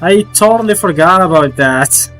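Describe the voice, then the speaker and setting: A man's voice: high-pitched, expressive, moderate pace, a bit bright, rough recording. A man who streams games, giving casual live reactions in informal asides to the game. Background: game music.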